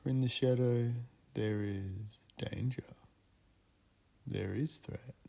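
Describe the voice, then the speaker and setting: Slow, gravelly man guiding a meditation. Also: mid-range voice, steady tone, warm tone. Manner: solemn, deliberate, with long pauses between short phrases. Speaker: guided meditation guide. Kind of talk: slow guided meditation ritual narration.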